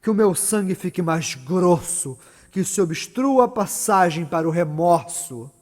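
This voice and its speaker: A man's voice: high-pitched, expressive, unhurried. A man playing a ruthless, scheming noblewoman in a solemn, declamatory Shakespearean stage monologue. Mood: fierce, invoking. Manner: solemn, declamatory, swinging from hushed to loud. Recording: long reverberant hall echo.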